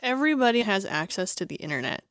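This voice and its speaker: A woman's voice: mid-range voice, sing-song, fast, a bit bright, no echo. A woman co-hosting a casual podcast, talking animatedly and swearing freely, often slipping into mocking quoted voices. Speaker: podcast co-host. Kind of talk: casual chat.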